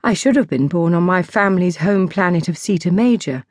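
A gracious teenage princess, acted by a woman narrator, lonely and bound by duty. She explains herself earnestly and politely in an audiobook tale, in a slightly low voice with a dry, close sound. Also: lively intonation, a little fast, no echo. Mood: earnest, explaining.

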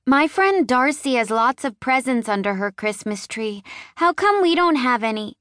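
A woman's voice: high voice, expressive, moderate pace, a bit bright, dry recording, clean studio sound, pitched above the performer's own voice. Woman doing a frightened little girl. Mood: pleading. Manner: childlike, pleading, breathless. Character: sassy four-year-old girl, frightened.

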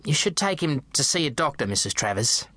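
A kindly middle-aged neighbour, steady and reassuring, as a man puts him on. His voice sits a little higher than plain speech. The sound is close and dry. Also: brisk, no room sound, exaggerated voice. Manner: reassuring, matter-of-fact.

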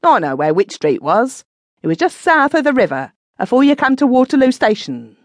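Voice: mid-range voice, very animated, normal speed, dry recording, voice pushed up, lightly acted. Reader doing a growly docks thug, picks fights, barks his lines. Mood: angry. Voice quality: gruff, growling. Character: surly brawling London dockside man.

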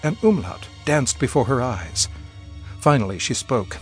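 A man's voice: medium pitch, big pitch swings, measured pace, soft background music, poor audio. A man reading scripted narration as a radio host.

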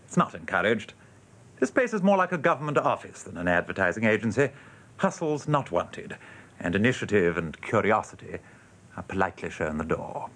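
A man's voice: lowish voice, big pitch swings, fairly quick, a bit bright, exaggerated voice. An actor playing a jaded advertising man in a British radio drama, with an English accent and a dry, sardonic, world-weary manner. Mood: dry, cynical. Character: jaded, cynical male advertising office old hand.

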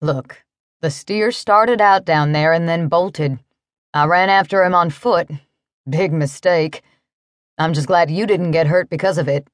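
Woman putting on an easygoing cowboy, low drawl. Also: unhurried, no room sound, clean studio sound, close to an everyday voice. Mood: calm, reassuring. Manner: easy, calm drawl.

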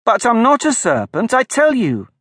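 A man's voice: very high voice, wildly swooping pitch, normal speed, fairly bright tone, husky, dry recording, clean studio sound, voice pushed up, exaggerated voice. A man reading aloud, voicing a polite, truthful little girl who protests earnestly. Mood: indignant, puzzled.

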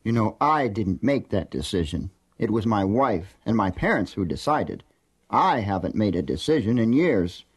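A man's voice: lowish voice, very animated, moderate pace, husky. A man playing a put-upon, resigned middle-manager husband in an audio drama, weary and defensive.

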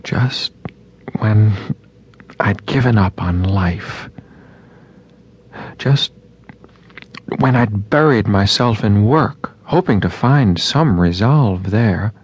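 An actor playing a moody, romantic man voicing his letters in an audio drama, measured and earnest, with a gravelly, husky voice. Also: fairly low pitch, expressive, heavily acted. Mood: tender, romantic.

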